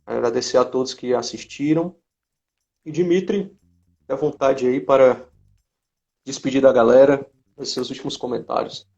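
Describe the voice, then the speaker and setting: A man hosting an informal live interview chat, speaking haltingly with frequent tag questions. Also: medium pitch, creaky, warm tone. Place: remote live video chat on social media. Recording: dull, lo-fi live-stream audio.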